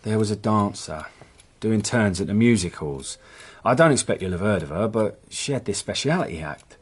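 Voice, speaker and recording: Husky, nervous English crook. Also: male voice, on the low side, lively intonation, normal speed. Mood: storytelling. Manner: hesitant, stammering, self-excusing. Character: nervous petty crook, hapless henchman, an adult man. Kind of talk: British radio drama acting.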